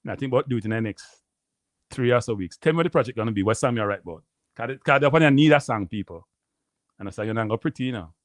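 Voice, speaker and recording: A Jamaican man hosting a live stream, rambling casually to his viewers and repeating himself, with a deep voice. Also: lively intonation, racing, no echo, clean studio sound. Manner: rambling, repeats phrases, addresses viewers as people. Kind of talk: casual livestream chat.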